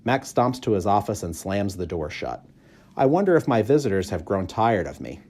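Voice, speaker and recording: A man reading a children's story aloud in expressive, measured, clearly phrased narration. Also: fairly low pitch. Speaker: audiobook reader, school staff member.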